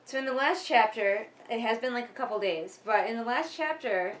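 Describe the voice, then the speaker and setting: A woman's voice: on the high side, moderate pace. A woman giving casual, sarcastic book commentary in an emphatic, exasperated manner, sometimes reading passages aloud. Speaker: vlogger doing a critical book read-along.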